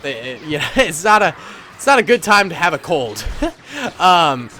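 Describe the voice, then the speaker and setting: A man's voice: fairly high pitch, sing-song, a little slow, pushed and bright, slight background noise. A man hosting casual commentary over a battle simulator game, playful and slangy with frequent chuckles, his nose stuffed up from a cold. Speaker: gaming channel host.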